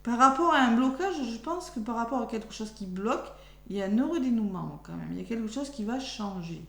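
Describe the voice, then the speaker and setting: A French woman with a nearly lost voice, speaking softly as she gives a chatty weekly tarot reading for her followers. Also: on the high side, expressive, a little fast, gravelly. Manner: chatty, thinks aloud while drawing cards. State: losing her voice, talking softly. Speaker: tarot card reader. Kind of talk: weekly astrology card reading. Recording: echoey room with faint hum.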